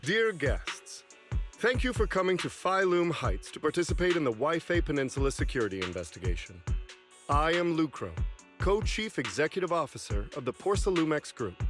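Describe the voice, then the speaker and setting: An actor playing a polished corporate chief executive giving a ceremonious welcome speech in a video game. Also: male voice, fairly high pitch, big pitch swings, normal speed. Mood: gracious, formal. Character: formal male corporate co-CEO addressing banquet guests. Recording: echoey hall sound with music.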